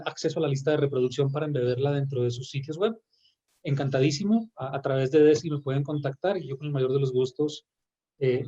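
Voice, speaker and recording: A man speaking on an online panel, explaining his work with frequent pauses and restarts. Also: mid-range voice, dark tone, dull audio. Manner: hesitant, many restarts. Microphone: video call.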